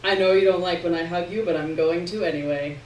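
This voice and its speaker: A woman's voice: on the low side, fairly even intonation, fast, a little mellow, lightly acted. A woman reading aloud as a smug, pushy, overfamiliar man in a story. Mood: smug, leering. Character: leering, overfamiliar adult man, mother's ex-convict boyfriend. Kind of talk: storybook reading, character dialogue. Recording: roomy, distant mic, faint noise.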